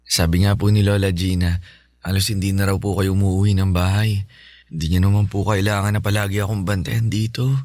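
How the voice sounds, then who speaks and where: A Filipino man playing a sick but cheerful, considerate son in a radio drama, polite and gently upbeat. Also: low voice, little pitch movement, brisk, dry recording, natural voice. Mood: warm, reassuring.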